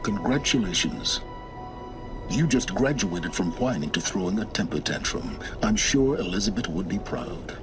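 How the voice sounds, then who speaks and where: An actor playing a cold, condescending man in a video game, speaking in a slow, lecturing, disdainful way. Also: lively intonation. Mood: scornful. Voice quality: deep, measured and cutting. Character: cold, condescending man hidden behind a mask. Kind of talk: video game cutscene acting. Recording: game audio with music underneath.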